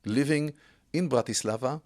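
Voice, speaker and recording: A man in his sixties from Israel, a podcast guest telling his family history in an unhurried way with many pauses. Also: mid-range voice, a little mellow. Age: 60s. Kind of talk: casual interview chat.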